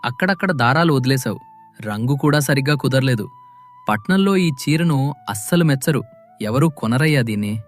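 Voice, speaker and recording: A man acts out a greedy village landlord in a story drama, cunning and dismissive. He bullies with haughty arrogance in a mid-pitched voice, with music underneath. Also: expressive, normal speed, close to an everyday voice. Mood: disparaging. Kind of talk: audio moral story acting.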